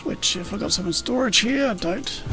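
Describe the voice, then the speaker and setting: A man streaming a factory-building game, explaining it in a rambling way with a slightly croaky voice. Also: high voice, expressive, moderate pace. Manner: rambling, self-correcting, explanatory. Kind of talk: casual gameplay explanation. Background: game music.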